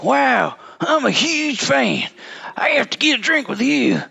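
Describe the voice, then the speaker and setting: An eager male superfan in an audio drama. The voice is very high and heavily creaky with a bright edge, and he talks haltingly, polite and gushing. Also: sing-song, muffled recording, exaggerated voice. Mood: starstruck. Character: eager, awkward, starstruck male fan in a bar.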